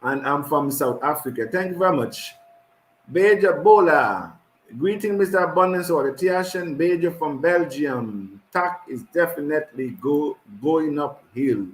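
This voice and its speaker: A man's voice: on the high side, animated, reverberant, rough recording. A man hosting an online community call, reading out viewers' greetings by name, stumbling over names and laughing often. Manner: reads names haltingly with frequent laughs.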